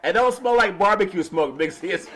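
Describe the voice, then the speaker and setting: A man with a raspy, half-lost voice hosting a casual sports talk show, laughing often and talking slowly. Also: sits high, expressive, a bit bright, a little hiss or hum. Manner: slow and laughing, keeps calling his co-host's name. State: hoarse, partly lost voice. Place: West Coast radio studio.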